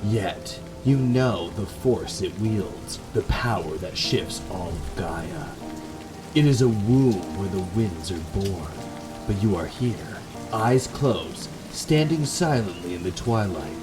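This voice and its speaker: A man's voice: on the low side, animated, slow. A man hosting a gaming video, moving between casual chat and dramatic reading of the game's text. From Canada. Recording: background music under the voice.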